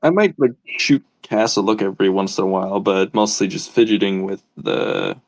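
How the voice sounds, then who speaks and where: A man's voice: deep voice, normal speed, no room sound. A man playing a tabletop roleplay game, describing his character's actions in a casual, hesitant way.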